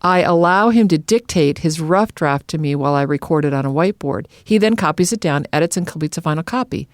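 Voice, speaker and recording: A woman's voice: sits low, fast, no echo, studio quality. A middle-aged woman co-hosting a podcast in a conversational style, sometimes reading aloud. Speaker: podcast co-host.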